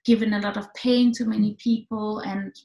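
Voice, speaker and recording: A woman's voice: mid-range voice, level tone, normal speed, gravelly, dark tone, roomy sound, highs cut off. A middle-aged woman from Denmark, a film director answering questions on a video call, thoughtful and full of restarts and fillers. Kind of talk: online film talk answers. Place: home room with echo. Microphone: computer mic on a video call.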